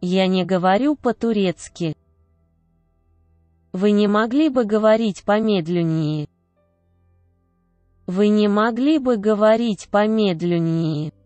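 A woman reading short phrases aloud one at a time, each clearly enunciated and measured. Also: mid-range voice, dark tone, no echo, soft background music. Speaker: Russian phrase reader. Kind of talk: slow repeated phrase reading.

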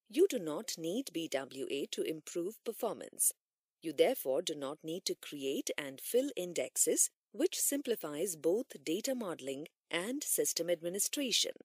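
A woman reading scripted instructional narration in a measured, formal manner, as for an e-learning course. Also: lowish voice, darkish tone, husky, no echo, clean studio sound.

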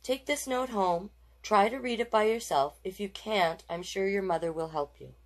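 A woman voicing a kindly but firm female primary teacher in a storybook, measured, instructive and reassuring. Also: mid-range voice, natural voice.

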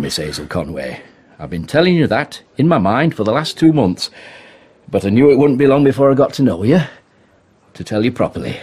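A self-made young Englishman in a radio drama. His voice is low and husky, and he comes across as brash and ambitious, a little awkward in company. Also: a little mellow. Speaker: actor in an audio play. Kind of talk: British radio drama acting.

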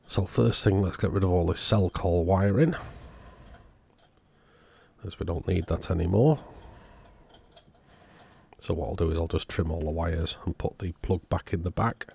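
Deep, gravelly-voiced man narrating repairs. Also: a little slow, pauses often, a little mellow. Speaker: host of an electronics repair channel. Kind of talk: casual repair walkthrough narration.

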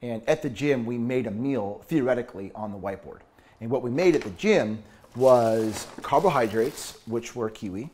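A man's voice: medium pitch, moderate pace, a little mellow. A man in his thirties, a fitness coach, talking through a meal in his home kitchen, earnest and upbeat, explaining step by step. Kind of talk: cooking demo talk to camera.

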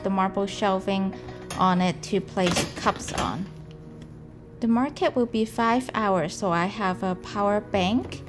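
Woman, 30s, potter vlogger, unhurried and soft-spoken over background music. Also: medium pitch. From Canada. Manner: slow, gentle, careful delivery. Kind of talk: calm explanatory vlog narration. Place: home room indoors.

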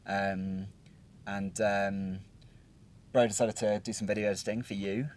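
A man's voice: lowish voice, little pitch movement, unhurried, darkish tone. A young British man recapping a trip in casual chat, rambling with plenty of ums and restarts, sitting outdoors at a hilltop viewpoint. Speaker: travel vlogger.

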